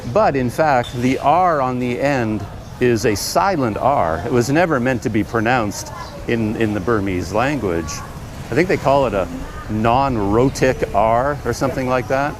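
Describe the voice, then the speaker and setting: Canadian man chatting over street noise. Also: mid-range voice. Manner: rambling, thinking aloud with fillers. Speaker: travel vlogger. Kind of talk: casual talk to camera while walking. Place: busy outdoor street market in a city. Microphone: camera mic. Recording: street noise around the camera. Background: traffic and trucks passing.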